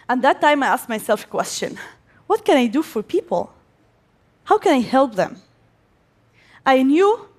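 A young woman from Gaza giving a prepared speech to an audience, speaking as an English speaker with pauses between phrases. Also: a little high. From Gaza, Palestine. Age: young adult.

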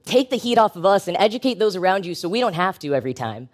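A man's voice: high-pitched, sing-song, fast talker. An American man delivering a prepared live talk in a conversational, wry and emphatic way, as a public speaker with a humorous, educational bent.

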